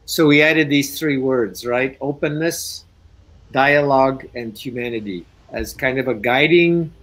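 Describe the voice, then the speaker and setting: A man speaking English on a video call, relaxed and thinking aloud with restarts as a guest speaker. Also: medium pitch, unhurried, low-quality recording. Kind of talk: casual talk on a video call.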